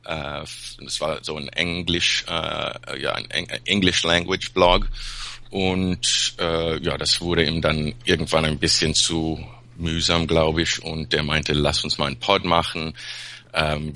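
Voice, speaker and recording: A man from Peru talks as a podcast guest, his voice very deep and gravelly yet bright in tone. He hesitates often, filling gaps and restarting his sentences. The recording is dry and close. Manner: hesitant, many fillers and restarts. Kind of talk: casual chat.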